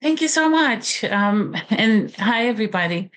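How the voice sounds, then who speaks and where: A woman in her forties, a native Romanian speaker with a Romanian accent, talks as an author guest in a halting way, with frequent pauses and restarts. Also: fairly high pitch, animated, a bit bright. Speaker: author and college teacher. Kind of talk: interview guest talk.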